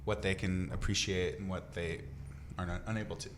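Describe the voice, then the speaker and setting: A man's voice: low-pitched, steady tone, fairly quick, slight background noise. A man hosting a casual radio interview in a studio, speaking in an effusive, encouraging way.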